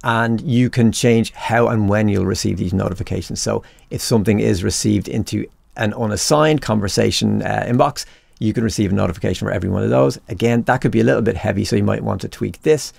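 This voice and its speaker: Male tutorial presenter, heavy vocal fry, casual explanatory screencast read, close and dry. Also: on the low side, a little fast, darkish tone, no room sound. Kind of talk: instructional screencast narration, conversational.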